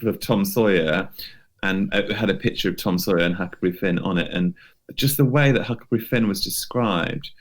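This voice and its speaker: Man, fairly low register, informal podcast chatter, understated dry wit. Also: fairly quick. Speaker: podcast co-host. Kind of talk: casual chat.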